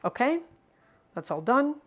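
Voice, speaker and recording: Twenty-something girl doing a cooking stream, kinda high voice, playful, explains as she chops, faint music behind. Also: very animated, measured pace, a bit bright. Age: 20s. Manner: playful, teasing, explains step by step while chopping. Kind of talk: casual cooking livestream, chatting with viewers.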